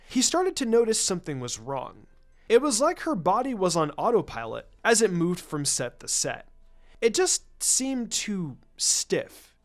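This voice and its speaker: Expressive story narrator with lively, swooping pitch. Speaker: fan fiction narrator.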